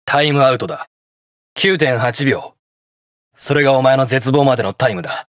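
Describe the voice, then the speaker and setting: An actor playing a brash, hot-blooded young detective hero in a video game, gruff, terse and defiant. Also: male voice, medium pitch, moderate pace, fairly bright tone, no room sound, studio quality, natural voice. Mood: cool, menacing. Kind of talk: video game battle voice lines in Japanese hero-show acting.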